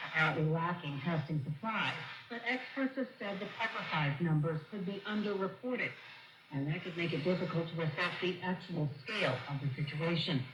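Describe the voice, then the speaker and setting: A woman delivers a scripted news report as a radio correspondent, formal and measured. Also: lowish voice, highs cut off. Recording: noisy shortwave radio broadcast, echoey. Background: shortwave static and noise.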